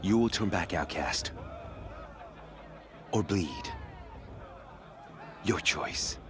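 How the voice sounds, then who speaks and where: Casting for hostile male guard, game role: deep, threatening, deliberate, noisy crowd backdrop. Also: animated, full character voice. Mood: menacing. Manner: slow, threatening, clipped. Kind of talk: video game voice acting.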